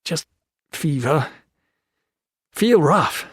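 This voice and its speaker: A man's voice: on the high side, expressive, extremely slow, a bit bright, slightly rough, no room sound, studio quality, voice pushed up, heavily acted. A man doing a weak, feverish British prisoner.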